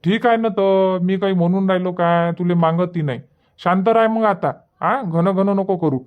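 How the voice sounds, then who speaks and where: Man as a high-voiced exasperated village husband. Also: normal speed, clean tone, narrow, tinny audio, lightly acted. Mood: irritated. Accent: Varhadi Marathi from Vidarbha. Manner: scolding, blunt. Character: exasperated village husband, protective of his sister. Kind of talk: dubbed cartoon comedy dialogue.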